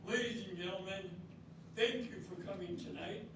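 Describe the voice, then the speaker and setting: Older man narrating, high voice. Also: moderate pace, background noise. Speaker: narrator and master of ceremonies in the play. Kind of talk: scripted stage narration. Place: community hall stage. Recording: echoey hall from far off.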